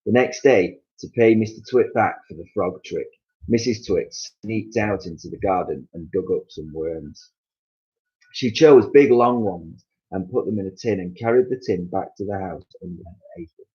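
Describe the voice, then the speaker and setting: A man reading a children's story aloud as an expressive narrator, on a slightly muffled recording. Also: deep voice, moderate pace.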